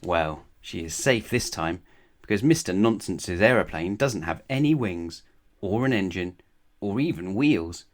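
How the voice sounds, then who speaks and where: Man, lowish voice, children's story narrator, measured, expressive phrasing. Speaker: storyteller reading a children's book aloud.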